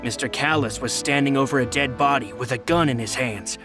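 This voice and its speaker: An actor playing a loyal, earnest subordinate who speaks deferentially and deliberately in a video game cutscene. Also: male voice, medium pitch, over music, close to an everyday voice. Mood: grave recollection. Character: loyal male subordinate bodyguard, earnest and measured.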